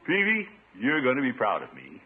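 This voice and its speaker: An actor playing a pompous, blustering middle-aged uncle who chuckles and preaches in a 1950s radio sitcom. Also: male voice, mid-range voice, wildly swooping pitch, moderate pace, breathy edge. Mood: preachy. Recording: muffled 1950s radio broadcast.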